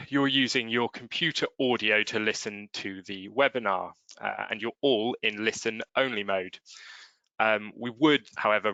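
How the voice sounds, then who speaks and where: A man's voice: lowish voice, creaky, sharp tone, dry recording. A man giving a polite, formal scripted introduction as a webinar moderator. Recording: dry, muffled webinar audio.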